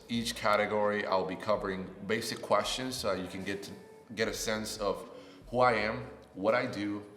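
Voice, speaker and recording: A man in his twenties from Puerto Rico, a native Spanish speaker, giving an upbeat, chatty introduction on his new channel. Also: fairly low pitch. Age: 20s. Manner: upbeat and chatty, rising with excitement. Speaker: YouTuber. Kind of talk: casual vlog self-introduction. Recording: echoey room with long reverb.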